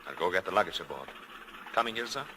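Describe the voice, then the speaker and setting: A male actor playing an earnest, courteous European resistance leader in a 1940s radio drama, with formal, measured speech. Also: low-pitched, expressive, very noisy. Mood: businesslike.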